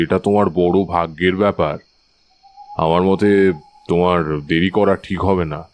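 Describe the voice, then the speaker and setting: An actor playing a wise older Tantric priest in an audio drama, calm, measured and authoritative. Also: male voice, low voice. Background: faint background music.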